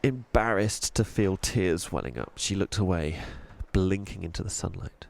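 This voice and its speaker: A man narrating a children's audiobook in an expressive storytelling style. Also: low-pitched, moderate pace, gravelly.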